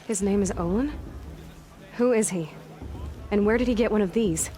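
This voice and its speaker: A woman's voice: medium pitch, moderate pace, warm tone, slight background noise, lightly acted. An actress playing a determined, curious young female hunter in a game cutscene, asking direct questions. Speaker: game protagonist. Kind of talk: video game cutscene dialogue.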